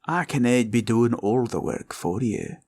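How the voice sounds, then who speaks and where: A man playing a scheming, condescending male green dragon villain in a roleplay ASMR audio, with a gravelly, husky voice and a slow, probing drawl. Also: medium pitch, expressive, darkish tone. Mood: stern, condescending.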